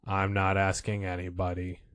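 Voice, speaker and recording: A man voicing a gloomy old donkey in a children's storybook, with a halting, deadpan, grumbling delivery. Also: low voice, smooth, no echo, studio quality, pitched below the performer's own voice. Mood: gloomy, resigned.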